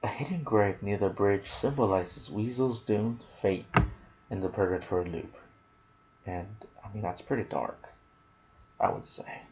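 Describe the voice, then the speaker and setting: A soft-spoken man hosting an ASMR gaming video, reading trivia with casual asides and sometimes dropping into a whisper. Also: lowish voice, measured pace, slight background noise, low-quality recording.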